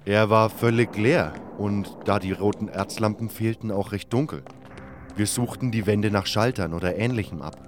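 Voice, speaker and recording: Low-voiced male fantasy narrator. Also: moderate pace, background noise. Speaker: first-person narrator. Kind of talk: scripted fantasy audiobook narration. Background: background music.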